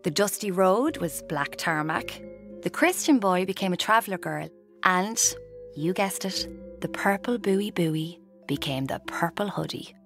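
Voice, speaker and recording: A woman's voice: fairly low pitch, animated, unhurried, over music. An actress playing a restless would-be writer in her twenties with an Irish accent, confiding drily in a radio drama. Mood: wry, boastful. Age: 20s. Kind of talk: radio drama, first-person confiding narration and dialogue.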